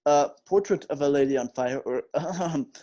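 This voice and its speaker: A young man co-hosts a casual film podcast with a voice a little higher than most men's. He jokes and teases, with hesitant "uh"s and "um"s as he goes. He is close on the mic. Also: normal speed, mellow, lo-fi sound. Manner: joking, teasing, with hesitant fillers. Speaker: podcast co-host.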